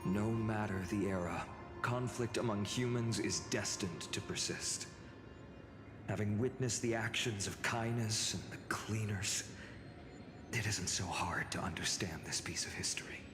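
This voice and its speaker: A man voicing a calm, reflective adventurer in a fantasy video game, speaking in a measured, solemn way. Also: on the low side, natural voice. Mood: somber, reflective. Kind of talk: scripted video game voice acting. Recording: echoey reverberant hall, music behind.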